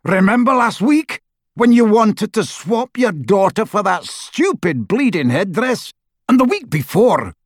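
A man voicing a brutish, sneering dark-wizard henchman in an audiobook, with a rough voice and a scornful, threatening manner. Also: mid-range voice, big pitch swings, a little slow, no echo, clean studio sound, heavily acted. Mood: furious shouting. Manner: scornful, taunting, roaring. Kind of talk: audiobook character voice.

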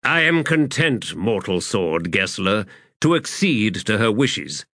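A man's voice: on the low side, very animated, pushed and bright, dry recording, studio quality, exaggerated voice. A man voicing Bryce, a dignified formal prince. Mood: measured. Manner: measured, formal diction.

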